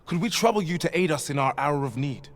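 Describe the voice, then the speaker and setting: An actor playing a polite, earnest displaced villager in a fantasy video game, with courteous archaic phrasing. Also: male voice, a little high, expressive, fast talker. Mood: worried, pleading.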